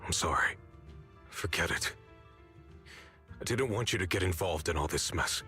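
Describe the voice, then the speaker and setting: A man's voice: deep voice, moderate pace, sharp tone. An actor playing a bitter, resolute young man, an orphaned former disciple, in a dramatic video game with sardonic, accusing delivery. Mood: grim resolve. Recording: studio voice over background music. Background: music.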